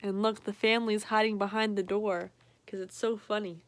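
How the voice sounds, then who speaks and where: A woman's voice: mid-range voice, level tone, normal speed. A woman reading a picture book aloud to a child, with playful, chatty asides. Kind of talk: read-aloud storytelling for a child. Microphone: recorded to a screen for remote viewing.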